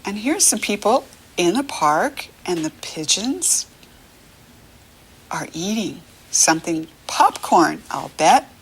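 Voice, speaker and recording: A middle-aged American woman leading a read-along lesson for young children, encouraging and teacherly, pausing for the child to answer. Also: fairly low pitch, big pitch swings, slight background noise, rough recording.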